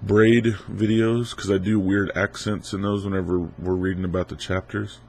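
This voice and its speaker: A man's voice: on the low side, flat delivery, moderate pace, darkish tone, slight background noise. A man in his twenties with a stuffy head cold, answering viewer questions in a laid-back, rambling way. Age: 20s. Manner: laid-back, rambling, lots of ums and uhs. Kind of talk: casual Q&A video.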